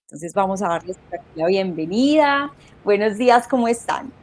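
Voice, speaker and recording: A woman's voice: medium pitch, expressive, moderate pace, a little hiss or hum, low-quality recording. Woman hosting a faith interview show. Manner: warm devout greetings, loose self-correcting phrasing. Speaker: host. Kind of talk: welcoming interview on a faith program.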